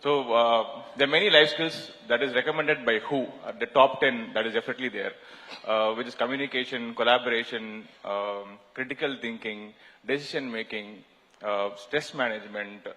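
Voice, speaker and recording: A man with an Indian English accent answering a panel question, halting often with fillers and restarts. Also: medium pitch, steady tone, pushed and bright. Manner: hesitant, many fillers and restarts. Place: large conference hall. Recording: reverberant hall.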